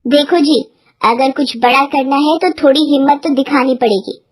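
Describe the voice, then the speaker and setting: A woman's voice: high voice, steady tone, moderate pace, dry recording, studio quality, natural voice. A woman voicing a sensible, nagging village farmer's wife in a cartoon moral story. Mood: nagging, urging.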